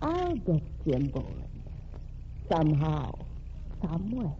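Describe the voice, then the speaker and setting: A woman's voice: sits low, huge pitch swings, slow pace, full character voice. An actress playing a determined young woman bent on revenge in 1940s radio drama style, speaking earnestly and intensely. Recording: dull lo-fi old radio recording with faint hiss.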